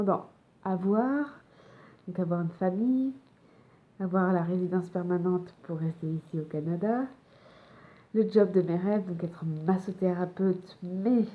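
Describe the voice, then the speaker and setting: French woman vlogging at home, slow and hesitant, lots of ums, kinda lowish dark voice. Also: narrow, tinny audio. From France. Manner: hesitant, many fillers and restarts. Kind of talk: personal casual talk to camera. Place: home in Montreal.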